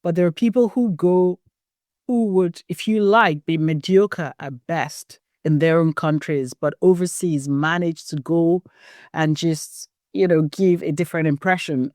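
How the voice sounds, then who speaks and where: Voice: medium pitch, darkish tone, no echo, clean studio sound. Frank, opinionated podcast host. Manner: frank, opinionated, chatty questioning. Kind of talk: podcast interview.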